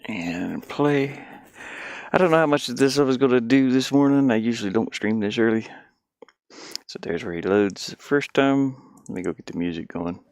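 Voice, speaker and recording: A man thinking out loud in casual livestream talk, muttering to himself as he works on a game. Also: mid-range voice, expressive, normal speed, no room sound, clean studio sound. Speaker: indie game developer streaming.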